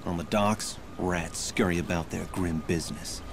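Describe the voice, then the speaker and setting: An actor playing a wry, hard-boiled noir superhero who wisecracks in 1930s detective slang in a video game. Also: male voice, sits low, steady tone, moderate pace, gravelly, noisy background. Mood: brooding. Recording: loud music behind the voice.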